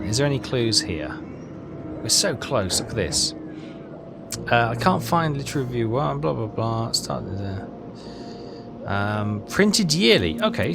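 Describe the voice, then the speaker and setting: A man's voice: mid-range voice, creaky. A man giving a casual game walkthrough, muttering and questioning himself as he thinks aloud. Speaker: game streamer. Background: game music.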